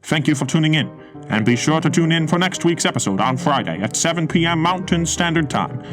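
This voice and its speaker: A male announcer reads a polished, formal sign-off for a radio theatre show. His voice sits fairly high for a man, and guitar music plays clearly underneath him. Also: expressive, brisk. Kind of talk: scripted closing announcement. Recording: music playing behind.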